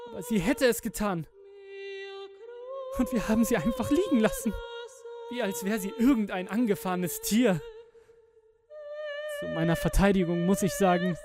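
A young man acting a guilt-ridden, haunted twenty-year-old who gives a halting, emotional confession in a horror audio drama. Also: high voice, full character voice. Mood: anguished. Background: music with violin.

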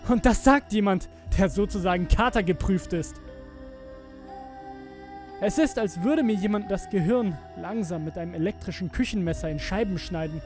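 A young man acting a guilt-ridden, haunted twenty-year-old who gives a halting, emotional confession in a horror audio drama. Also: high voice. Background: music with violin.

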